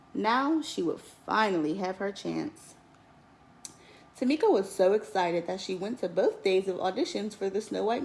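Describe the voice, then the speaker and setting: Gravelly-edged woman reading a storybook. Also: medium pitch, poor audio. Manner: expressive, lilting storytelling with lifts on key words. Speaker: teacher. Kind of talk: storybook read-aloud.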